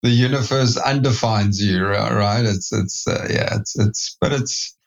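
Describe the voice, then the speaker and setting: A middle-aged man from South Africa with a South African accent, a company CEO telling his story as a podcast guest, reflective and halting with many restarts. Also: lowish voice, vocal fry, dull audio. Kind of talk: podcast interview.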